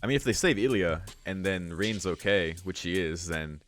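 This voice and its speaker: A man's voice: sits low, lively intonation, normal speed, a bit bright, faint music behind. A man hosting a let's play, reading a visual novel aloud and adding informal, musing asides.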